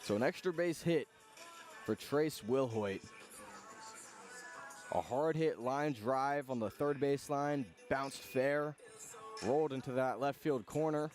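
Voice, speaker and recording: A man doing live radio play-by-play of a baseball game in clipped phrases, with pauses between calls. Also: medium pitch, a little mellow, faint music behind. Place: outdoor ballpark broadcast.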